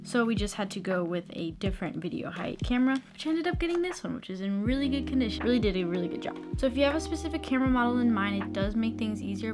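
Young American woman, chatty tutorial over guitar music. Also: fairly high pitch. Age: young adult. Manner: chatty, informal. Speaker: video tutorial presenter. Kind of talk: casual explanatory vlog. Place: small bedroom, indoors. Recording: music playing behind the voice. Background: plucked guitar music.

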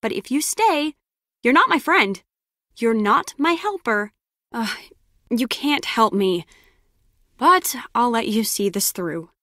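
A woman's voice: a little high, sing-song, slow, long pauses, bright, forceful tone, no echo, clean studio sound, heavily acted. An actress playing a brusque, sarcastic lone-wolf female spy in a video game, with a curt, biting delivery. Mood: scornful, commanding. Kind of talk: scripted game character dialogue.

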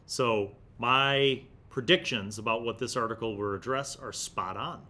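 A man's voice: medium pitch, measured pace, bright, forceful tone. A man hosts a gaming review series from an indoor studio at a desktop microphone, reading articles aloud and adding emphatic, conversational commentary. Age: 40s.

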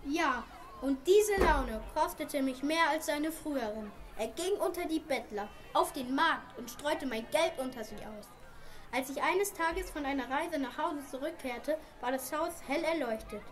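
A woman's voice: sits high, lively intonation, unhurried. A woman playing a proud, indignant wealthy merchant father in an amateur radio play, declaiming emphatically and with grievance.

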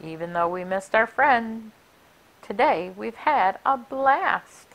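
A middle-aged woman reading a rhyming picture book aloud for children in an expressive, deliberate, sing-song way. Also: a little high, low-quality recording. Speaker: library story time reader. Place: small furnished room indoors. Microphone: clip-on lapel mic.

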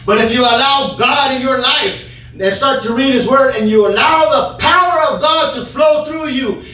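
Fervent high-voiced preacher man in echoey church. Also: normal speed. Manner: fervent, often shouting, with call-and-response. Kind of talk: sermon. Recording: echoey church room, from far off, faint hum.

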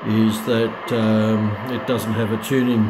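A middle-aged man giving a casual, unscripted product demonstration in a halting, hesitant way with many pauses. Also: fairly low pitch, level tone. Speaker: radio hobbyist reviewer. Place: small room at home, indoors. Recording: noisy home recording with radio static. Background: shortwave radio static and broadcast.

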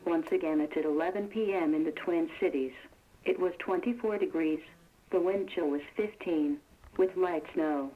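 A female text-to-speech voice reads out temperatures and conditions in a flat, mechanical cadence. Her pitch is a little low for a woman. The sound is thin and narrow, like a phone line carried over radio. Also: moderate pace. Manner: flat, evenly paced, mechanical delivery. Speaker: weather radio announcer. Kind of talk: automated weather observation reading. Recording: tinny phone-like radio broadcast.

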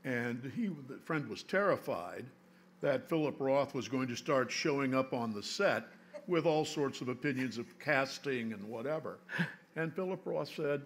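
An older man interviewing an author on a library stage before a seated audience, asking measured, searching questions with small hesitations. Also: medium pitch, expressive. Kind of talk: onstage conversation.

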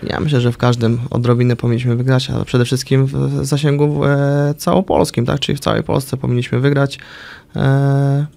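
A man's voice: medium pitch, flat delivery, moderate pace, creaky, warm tone. A young man answering as a guest in a radio interview, hesitant and full of fillers, speaking into a desk mic in a small radio studio. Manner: hesitant, with many fillers and restarts. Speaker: political candidate, guest. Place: small radio studio with orange acoustic panels. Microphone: studio mic on a desk arm.

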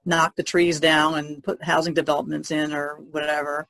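A woman talks casually as a podcast panelist, thinking her way through in halting phrases. Her voice is creaky and recorded very dry. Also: low voice, level tone, fairly bright tone, dry recording, poor audio. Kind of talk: casual discussion.

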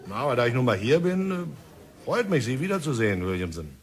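A man's voice: mid-range voice, big pitch swings, quick pace, close to an everyday voice. A man playing a persuasive company negotiator in a radio drama, jovial and coaxing.

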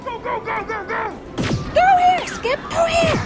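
Tiny creature sidekick, shrill high pitch, excitable, urgent, loud music. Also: female voice, unhurried. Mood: frantic.

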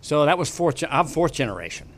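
A man's voice: fairly high pitch, very animated, fast talker, a bit bright, a little hiss or hum. An older man from the western United States, a studio guest telling long stories in a casual, rambling way with many restarts, speaking into a desk mic. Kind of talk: casual interview storytelling. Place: small studio with bookshelves. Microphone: desk broadcast mic on boom arm.

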